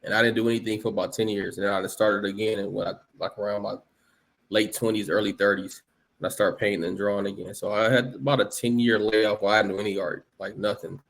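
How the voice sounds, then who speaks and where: A man's voice: fairly low pitch, flat delivery, fast talker, creaky, fairly bright tone, rough recording. A man in his late thirties from Los Angeles, a guest artist talking casually in an interview, rambling with many fillers and restarts.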